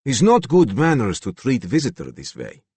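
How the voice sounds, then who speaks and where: Man acting young Yugoslavian gunman, Slavic accent, calm menace, clipped broken English, animated intonation, close dry audiobook recording. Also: mid-range voice, moderate pace, breathy edge, dry recording, full character voice. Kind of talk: audiobook character dialogue.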